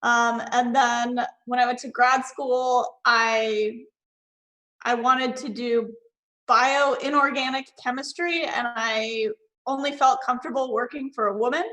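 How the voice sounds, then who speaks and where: A woman's voice: on the high side, fairly even intonation, measured pace, bright, forceful tone, low-quality recording. A woman in her thirties from Northern California, chatting casually as a panelist over a video call with many fillers and restarts. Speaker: panelist and award finalist.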